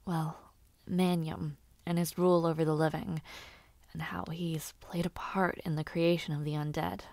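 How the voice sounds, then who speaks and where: A woman's voice: fairly low pitch, creaky, no echo. Woman playing a playful young noblewoman knight-in-training, close dry sound. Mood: thoughtful, earnest. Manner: conversational, teasing, expressive, with hums and hesitations. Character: lively young noblewoman and aspiring knight, playful gossipy companion. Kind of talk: fantasy audio roleplay addressed to the listener.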